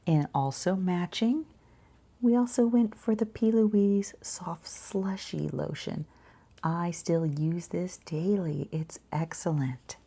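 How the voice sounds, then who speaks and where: A woman talking quietly in an ASMR shop roleplay, gentle and chatty, with a soft, breathy voice that often drops toward a whisper. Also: lowish voice, slow pace. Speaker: ASMR roleplayer as boutique shopkeeper and channel host.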